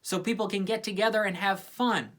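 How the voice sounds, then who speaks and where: A woman's voice: medium pitch, steady tone, brisk, natural voice. A woman voicing a gloomy, lonely little cartoon boy in a forlorn, sighing way.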